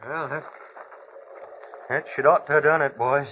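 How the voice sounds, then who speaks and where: An actor playing a villainous claim-jumper gang leader in a radio western, with an American accent. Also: male voice, fairly high pitch, steady tone, unhurried, close to an everyday voice. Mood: menacing. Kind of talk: 1950s radio western acting. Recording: muffled, faintly noisy vintage radio recording.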